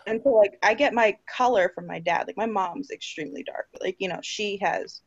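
A woman's voice: mid-range voice, a little fast, husky, dry recording, muffled recording. Chatty, laughing young woman guest. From New Jersey and the U.S. Virgin Islands. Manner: animated, laughing often, lots of fillers like "like" and "you know". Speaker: interview guest. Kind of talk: casual conversational storytelling.

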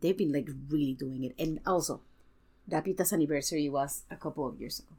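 A woman's voice: deep voice, fast talker, mellow, breathy edge. A young woman chatting casually as a podcast guest, gushing and playful, with lots of slang. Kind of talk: casual chat.

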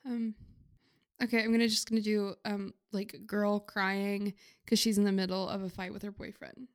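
A woman's voice: medium pitch, flat delivery, moderate pace. A woman hosting a comedy podcast, chatting casually and jokingly. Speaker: podcast host and performer. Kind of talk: casual comic podcast chat.

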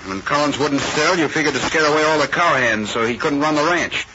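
An actor playing a plain-spoken Western ranch hand in an old radio drama, with deliberate, folksy cowboy phrasing. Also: male voice, fairly high pitch, lightly acted. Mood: confident, accusing. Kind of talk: 1930s-40s radio western acting. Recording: noisy dull old radio broadcast recording.

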